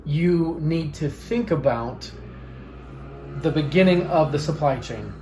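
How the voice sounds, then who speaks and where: A man's voice: a little high, slow, dark tone. A man hosting an interview, listening attentively with short hums and summing up what his guests say. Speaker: podcast host. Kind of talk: casual interview talk. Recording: echoey room with faint noise.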